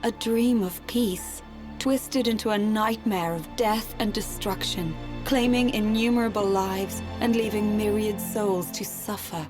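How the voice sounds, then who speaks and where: An actress playing a gentle, solemn young oracle, giving a formal, earnest ceremonial speech in a video game. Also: female voice, medium pitch, over music, lightly acted. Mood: solemn, sorrowful. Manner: measured, deliberate, earnest, formal diction. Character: solemn, gentle young female oracle addressing her people.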